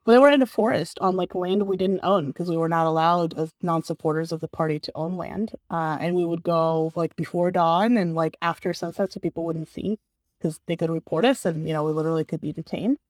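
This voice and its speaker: A woman's voice: fairly low pitch, fairly quick, darkish tone, no echo. A woman from Bulgaria, a guest in an interview, talking earnestly in a casual way with lots of "like". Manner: earnest, many fillers like "like". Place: San Francisco.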